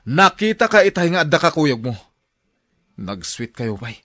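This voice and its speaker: A man's voice: a little high, sing-song, normal speed, a bit bright. An actor playing a stubborn, lovestruck young man, a devoted son, in an Ilocano radio drama. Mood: hurt, angry. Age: young adult.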